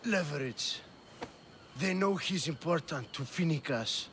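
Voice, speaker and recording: A man's voice: a little high, animated, a little slow, a bit bright, slight background noise. A man playing a weak, bitter wounded Greek sailor in a dramatic video game. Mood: pained, bitter.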